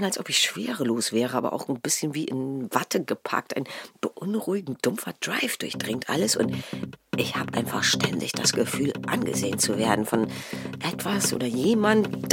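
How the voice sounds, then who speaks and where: Man acting an uneasy, creaky-voiced dreamer. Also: on the high side, very animated, normal speed. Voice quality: husky, creaky. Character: uneasy male traveller recounting a paranoid, weightless dream. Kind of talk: scripted dream monologue.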